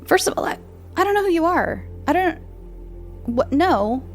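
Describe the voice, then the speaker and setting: A woman's voice: very high voice, sing-song, moderate pace, faint music behind. A woman hosting a podcast, answering listener questions in a casual, rambling chat full of fillers and restarts. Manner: chatty, meandering, many fillers and restarts.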